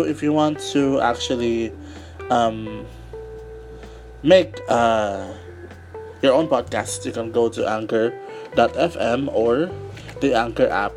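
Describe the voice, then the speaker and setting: A man hosting a casual solo podcast, his voice raspy from a cold, rambling with many fillers and restarts. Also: medium pitch, darkish tone. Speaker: podcast host.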